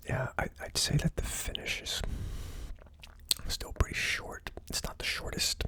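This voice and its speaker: An ASMR host giving a hesitant, thinking-aloud tasting commentary in a breathy, unvoiced whisper. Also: a little slow, bright, forceful tone. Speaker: whiskey reviewer, ASMR host.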